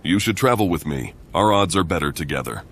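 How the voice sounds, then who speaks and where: An actor playing a grim, cool-headed warrior in a fantasy video game, speaking tersely and dryly. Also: male voice, deep voice, animated, a little fast, a bit bright, slight background noise. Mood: dry, composed. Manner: terse, dry, unfazed. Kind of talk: video game character acting.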